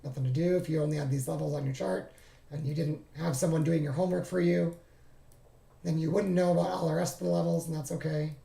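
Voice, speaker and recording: A man walking through his charts on a casual screen-share, thinking aloud and often stopping to correct himself. His voice is gravelly and fading, and he sits a little high with a mellow, darkish tone. The room adds a clear echo. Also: flat delivery, fast. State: losing his voice. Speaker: trading streamer.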